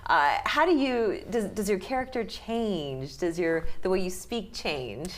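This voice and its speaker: A woman's voice: medium pitch, animated, a little slow. A woman interviewing guests in conversation on a TV news show, with an enthusiastic, reactive manner. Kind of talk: conversational live studio interview. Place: TV news studio.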